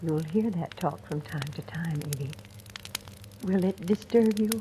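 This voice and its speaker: An actress playing a kindly, knowing older cook in a 1940s style radio drama, speaking in a gentle, grave, measured way. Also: female voice, on the low side, natural voice. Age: middle-aged or older. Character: kindly older woman cook, former schoolteacher, quietly knowing. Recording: noisy vintage radio recording with clicks.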